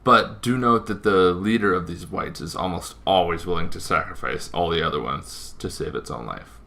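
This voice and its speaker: A man hosting a casual explainer on tabletop roleplaying lore, talking informally and haltingly with frequent asides. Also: sits low, creaky, pushed and bright. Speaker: tabletop gaming video host.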